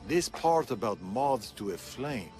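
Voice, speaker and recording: A grave old assassin teacher in a video game, clipped orders, medium pitch, bit of music under. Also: male voice, sing-song, unhurried. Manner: instructive, clipped, serious. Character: stern, seasoned older male assassin mentor. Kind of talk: video game cutscene acting.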